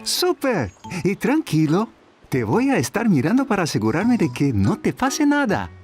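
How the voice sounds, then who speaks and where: A friendly young lifeguard in a kids' cartoon. The delivery is encouraging and swings widely in pitch, with soft music underneath. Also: female voice, huge pitch swings, moderate pace. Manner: bright-spirited, encouraging. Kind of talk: children's cartoon dub acting.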